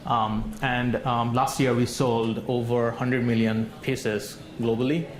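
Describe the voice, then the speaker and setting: A man's voice: mid-range voice, flat delivery, takes it slowly. A man introducing himself on a conference panel, hesitant with frequent ums. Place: conference hall stage. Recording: echoey hall, faint noise.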